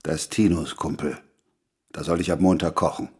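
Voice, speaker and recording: A very deep, gruff, husky man. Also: steady tone, normal speed, a little mellow. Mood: firm, stern. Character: gruff, stern man, mother's lover. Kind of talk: German audio drama dialogue acting.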